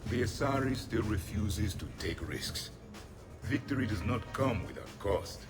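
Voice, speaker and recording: An actor playing a proud, disdainful ancient alien warrior who speaks in a formal, haughty manner. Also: male voice, fairly low pitch, animated, measured pace. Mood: scornful. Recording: music playing behind the voice.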